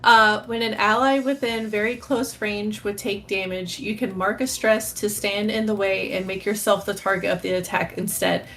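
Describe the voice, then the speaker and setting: A woman's voice: medium pitch, flat delivery, normal speed, few pauses, a bit bright. A woman playing a tabletop game, talking casually about her moves and the rules.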